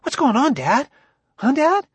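Young boy for science fiction audiobook, played by a man: high, husky, breathless, eager, whining and pleading. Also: very animated, normal speed, no room sound. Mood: squealing excitement. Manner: pleading, squeaky, childlike slang.

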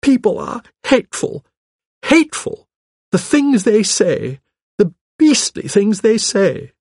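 A man's voice: on the high side, very animated, moderate pace, a little mellow, slightly rough, dry recording, clean studio sound, voice pushed up, heavily acted. A man voicing a spirited, outspoken young wife with an impulsive, emphatic manner in an audiobook. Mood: indignant, upset. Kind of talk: audiobook character dialogue.

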